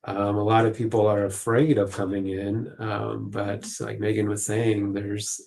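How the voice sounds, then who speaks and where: A man's voice: fairly low pitch, flat delivery, a little slow, vocal fry, a little mellow. A man answering casually as a guest social worker in an interview.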